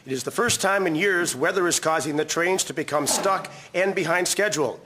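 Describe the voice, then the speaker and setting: A man's voice: a little high, brisk, pushed and bright, slight background noise. A man playing a 1950s radio newsreader delivering formal scripted bulletins in a drama. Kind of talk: scripted news reading, period radio drama.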